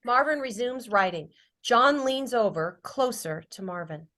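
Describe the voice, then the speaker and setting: A woman's voice: a little high, expressive, measured pace, fairly bright tone. A woman reading stage directions as scripted narration at a drama table read.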